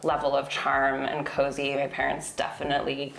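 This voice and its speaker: A woman's voice: very deep voice, completely flat delivery, moderate pace, creaky, fairly bright tone, slight background noise. An American woman from California chatting casually as she tells stories in an interview, with informal wording. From Sonoma County, California, US. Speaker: interior designer being interviewed on a home tour. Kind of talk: casual conversational storytelling. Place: indoors in a home. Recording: roomy indoor echo.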